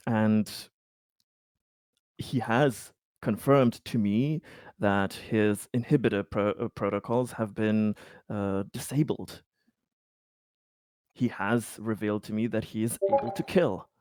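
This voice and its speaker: A man playing an urgent colony insider in a tabletop roleplay, speaking insistently and haltingly as he warns of danger. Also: fairly low pitch, darkish tone, dry recording. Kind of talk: improvised in-character roleplay.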